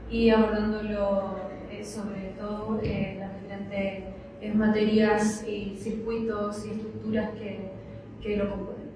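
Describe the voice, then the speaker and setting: A woman from Chile with a Chilean accent, introducing herself as an artist on a panel with quick, filler-laden speech. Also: medium pitch. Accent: Chilean Spanish. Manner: quick, flat delivery with fillers. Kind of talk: brief self-introduction. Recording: echoey room, far from mic, clear noise.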